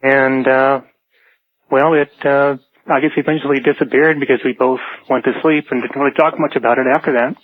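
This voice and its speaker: A man's voice: mid-range voice, little pitch movement, a little fast, no echo. Tentative man on a dry phone line. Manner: tentative, matter-of-fact. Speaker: radio show caller. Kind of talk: unscripted personal anecdote.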